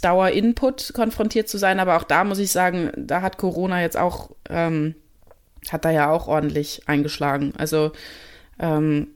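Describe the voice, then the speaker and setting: Thoughtful young German woman, lowish voice. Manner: thoughtful, with many fillers and restarts. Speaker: musician and interview guest. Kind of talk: casual interview conversation.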